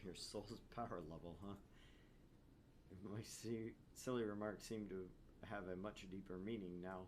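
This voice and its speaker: A man's voice: on the low side, fairly even intonation, normal speed, darkish tone, soft background music. A man reading visual novel narration aloud as a gaming host, with casual asides.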